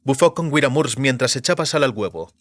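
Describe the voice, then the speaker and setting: A man's voice: mid-range voice, animated, brisk, fairly bright tone, no echo. A man narrating a fantasy novel as scripted audiobook narration.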